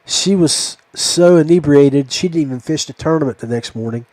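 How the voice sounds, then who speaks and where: A man with a somewhat high voice hosts a casual podcast chat. He spins rambling, folksy stories, and the sound is fairly dry and close. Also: moderate pace, a little mellow, no room sound, rough recording. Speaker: podcast host.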